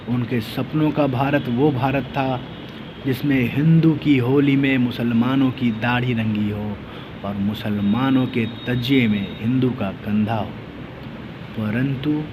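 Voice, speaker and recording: A man giving a patriotic speech with recited verse in a declamatory, rhetorical manner, using dramatic pauses and repeated lines. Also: medium pitch, background noise. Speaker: orator reciting patriotic poetry.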